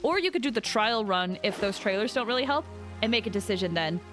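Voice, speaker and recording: A woman giving chatty, expressive, casual commentary as she livestreams a video game. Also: medium pitch, fast, over music. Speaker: game streamer.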